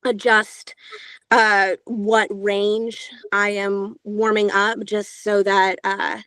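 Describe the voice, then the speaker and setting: A woman's voice: level tone, extremely slow, a bit bright, no room sound, poor audio. Chatty youthful-sounding woman narrator. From Georgia, US. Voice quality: higher-pitched, younger-sounding voice. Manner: chatty, lots of filler sounds and restarts. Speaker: audiobook narrator, interview guest. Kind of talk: casual interview talk.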